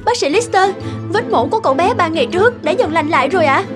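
A super high eager young cartoon nurse, respectful, clear music behind her. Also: expressive, fast talker. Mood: amazed. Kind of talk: cartoon dubbing. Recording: prominent music under dubbed dialogue.

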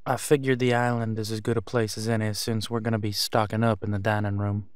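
An actor playing a steady, level-headed detective in an audio drama, matter-of-fact in delivery. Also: male voice, lowish voice, fast, clear, resonant tone, lightly acted.

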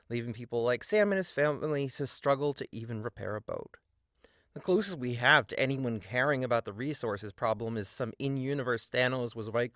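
Sardonic man narrating with lively pitch swings. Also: no echo, studio quality. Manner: sardonic, emphatic. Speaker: video essayist. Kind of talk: scripted video essay narration with sardonic asides.

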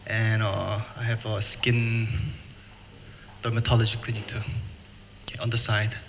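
A middle-aged man from the United States presenting at a seminar, speaking plain, halting English and repeating words. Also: lowish voice, level tone. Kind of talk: conference presentation. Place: hotel function room. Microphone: table mic, filmed from afar. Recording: reverberant hall, faint noise.

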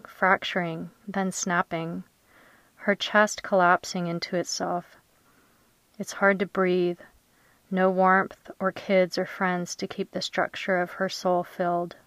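A woman poet reading her verse aloud in a measured, deliberate way with dramatic pauses. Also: on the low side, fairly bright tone, no room sound.

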